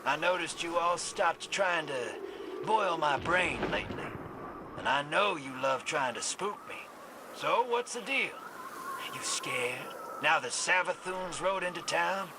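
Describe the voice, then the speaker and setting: An actor playing a brash, sarcastic rogue in a video game, irreverent and quick to irritation. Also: male voice, fairly high pitch, animated, background noise. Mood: taunting, wry. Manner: wisecracking, irreverent. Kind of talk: video game cutscene voice acting.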